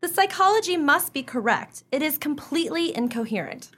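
A woman's voice: high-pitched, animated, fairly quick, a bit bright. A woman playing a gossipy, drily witty small-town wife in a comedic radio play, speaking in a precise, theatrical way. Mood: dry, resigned.

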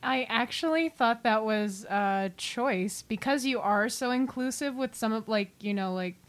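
A woman's voice: fairly high pitch, unhurried. A woman co-hosting and interviewing on a podcast, chatting casually in an encouraging manner. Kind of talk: casual chat.

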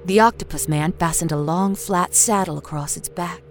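A woman's voice: on the low side, normal speed. Woman narrating a story over faint music. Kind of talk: dramatic scripted story narration.

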